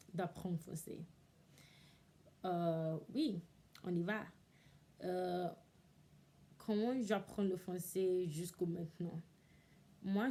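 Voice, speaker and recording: Young woman, English native speaker, halting learner's French, slow, mellow warm tone. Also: fairly low pitch. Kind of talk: casual talk to camera.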